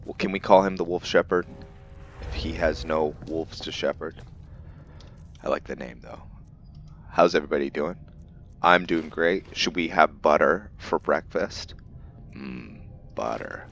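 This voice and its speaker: Very deep, slow-talking man, casual game commentary. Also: lo-fi sound, a little hiss or hum. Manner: musing, thinking aloud. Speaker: gaming video host.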